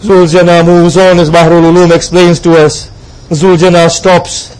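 A man's voice: high voice, level tone, moderate pace, a little mellow, poor audio. A man preaching a mournful devotional narrative in a solemn, impassioned, dramatic way, with plaintive cries. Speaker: preacher reciting a religious lament. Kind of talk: mournful devotional sermon storytelling.

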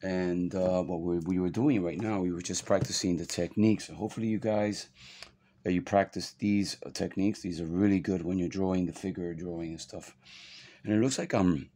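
A middle-aged man with a hoarse voice from a cold, giving a soft-spoken, halting drawing tutorial at his desk. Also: low-pitched, steady tone. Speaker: art instructor.

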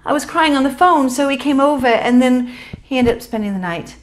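A woman's voice: high voice, lively intonation, a little fast, voice pushed up. A woman performing a dramatic stage monologue as a guilty young woman, blurting out a confession in an urgent, breathless run-on. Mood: distraught, pleading. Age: young adult.

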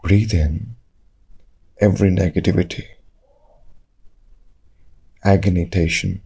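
A man leading a guided meditation, giving calm, measured instructions in short phrases with long pauses. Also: deep voice, vocal fry, husky, poor audio.

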